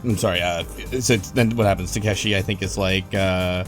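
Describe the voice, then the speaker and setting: A man's voice: low-pitched, steady tone, normal speed, over music. A man hosting a casual group discussion, talking in a rambling, hesitant way with lots of fillers. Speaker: podcast host.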